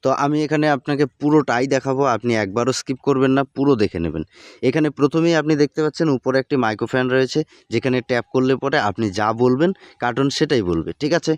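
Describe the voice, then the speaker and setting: Quick-talking man presenting an informal tutorial. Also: medium pitch, dry recording. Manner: repetitive, addresses viewers familiarly with catchphrases. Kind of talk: informal video tutorial narration.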